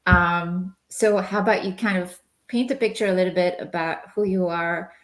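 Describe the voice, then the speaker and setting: A woman's voice: on the low side, fairly even intonation, normal speed. A woman hosting a podcast interview, friendly and encouraging toward her guest. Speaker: podcast host. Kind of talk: conversational interview.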